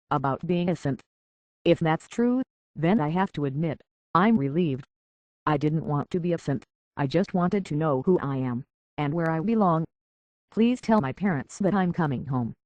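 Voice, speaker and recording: An actress playing an earnest, conflicted young woman in a video game, speaking hesitantly and with a soft heart. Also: deep voice, no echo. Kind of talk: video game character dialogue.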